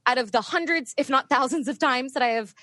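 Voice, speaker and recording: A woman from the US East Coast, a medium, talking in a chatty, animated way with frequent laughs. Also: high voice, a little fast, no room sound. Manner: chatty, animated, laughs often, many fillers. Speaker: spirit medium guest. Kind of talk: casual podcast interview.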